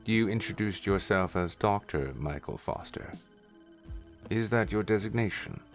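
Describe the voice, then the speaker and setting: A man's voice: on the low side, a little slow. A man voicing a curious, polite artificial intelligence in a formal, precise manner for an audio story. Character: newborn male AI computer, formal, curious, polite. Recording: music under the voice.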